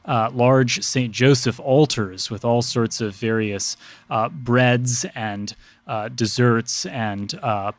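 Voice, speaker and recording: A man speaking as a priest and podcast guest, explaining religious customs conversationally in measured, scholarly, precise wording. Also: medium pitch, dry recording.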